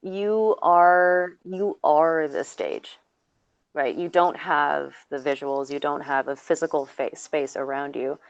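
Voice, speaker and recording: A woman speaking as a panelist, enthusiastic and emphatic, explaining in deliberate phrases on a slightly dull recording. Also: low-pitched, fairly even intonation, vocal fry, smooth, no echo. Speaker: voice actor panelist. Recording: dry, slightly dull call audio.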